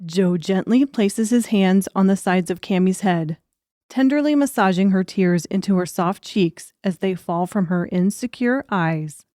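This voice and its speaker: Woman narrating an audiobook, mid-pitched. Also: unhurried, dark tone, no room sound, studio quality. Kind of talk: scripted narration.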